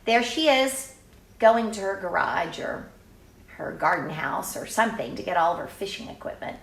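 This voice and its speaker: An older woman reading a picture book aloud to children in an informal way, with chatty, exclaiming asides and drawn-out emphasis. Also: medium pitch, big pitch swings, vocal fry, reverberant.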